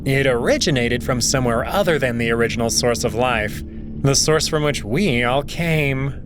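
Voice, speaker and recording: A man voicing a young male alien prince and diplomat, speaking in a measured, formal, unhurried way. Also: mid-range voice, music in the background, close to an everyday voice. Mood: thoughtful.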